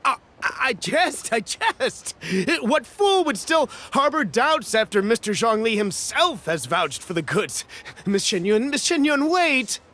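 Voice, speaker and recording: An actor playing a wary, fawning merchant buyer who speaks hesitantly and nervously, in a video game. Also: male voice, very high-pitched, big pitch swings, a little slow, bright, forceful tone, heavily acted. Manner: hesitant, obsequious and a bit nervous.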